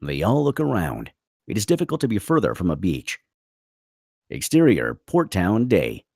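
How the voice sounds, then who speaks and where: A man's voice: deep voice, wildly swooping pitch, moderate pace, vocal fry, darkish tone, breathy edge, no room sound, clean studio sound. A man reading screenplay stage directions as the narrator of a table read.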